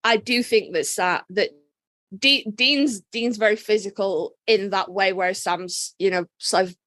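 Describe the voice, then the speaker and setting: A woman's voice: medium pitch, measured pace, a bit bright, no room sound, clean studio sound. A woman co-hosting a podcast in casual chat, with a blunt, irreverent, informal way of talking. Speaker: podcast co-host. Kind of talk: casual chat about fan fiction.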